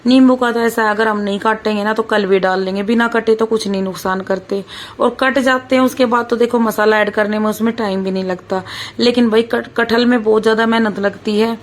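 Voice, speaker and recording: Hoarse chatty woman vlogger. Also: a little high, fairly even intonation, fairly quick. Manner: chatty, keeps saying "bhai", talks while cooking. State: hoarse, sore throat. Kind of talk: casual vlog chat.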